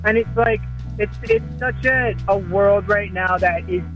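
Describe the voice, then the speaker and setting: A man's voice: high-pitched, measured pace, muffled recording, soft background music. A man being interviewed as a musician over a call line, easygoing and hesitant, with lots of fillers and restarts. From Canada. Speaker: musician guest. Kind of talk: casual interview answers. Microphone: remote call line.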